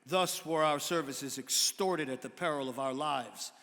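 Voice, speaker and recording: A man giving a measured, formal dramatic reading of an old historical text. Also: medium pitch, gravelly, a bit bright. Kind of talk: dramatic reading of an 18th-century pamphlet. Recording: reverberant hall.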